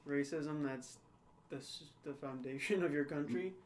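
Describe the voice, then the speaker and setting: A man's voice: a little high, moderate pace, a little hiss or hum. A young man co-hosting a podcast, talking things through in a thoughtful, hedging way, often checking in with "right?". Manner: thoughtful, hedging, frequent "right?" and "you know". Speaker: podcast co-host. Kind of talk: casual discussion.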